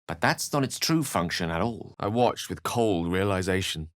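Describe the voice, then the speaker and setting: A thoughtful, well-spoken wizard for a fantasy video game. His voice sits fairly low and is very dry, with no room around it. He speaks in measured, theatrical phrases with a wry, scholarly temper. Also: lively intonation, no echo. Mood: earnest, reasoning. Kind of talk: video game voice acting, dialogue and narration.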